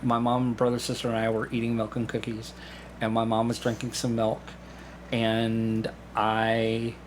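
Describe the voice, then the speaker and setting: A man chats casually as a guest, telling stories in a halting way and repeating himself as he goes. His voice is mid-pitched, with some noise in the background. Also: monotone, rough recording. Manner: halting storytelling with repeated phrases and acted-out asides. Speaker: podcast guest, amateur actor. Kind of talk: casual anecdotal conversation. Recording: clearly audible background room noise.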